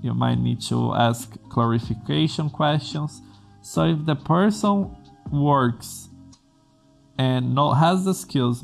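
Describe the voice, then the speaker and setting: A man from Brazil speaks English as a second language, earnestly talking programmers through career advice. His voice is deeply gravelly and full of fry. Soft music plays quietly underneath. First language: Portuguese. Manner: earnest, explains in plain steps with restarts. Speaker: coding school host. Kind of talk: advice vlog to camera.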